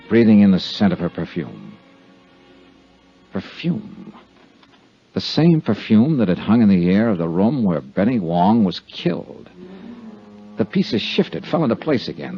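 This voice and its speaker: An American actor playing a tough insurance investigator in a 1950s radio drama, speaking in clipped, dramatic, hard-boiled phrases. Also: male voice, low-pitched, vocal fry, heavily acted. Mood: urgent narrating. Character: tough, quick-witted male insurance investigator narrating his case. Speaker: lead actor and narrator. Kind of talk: 1950s radio detective drama acting, with first-person narration. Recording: dull vintage 1950s radio recording.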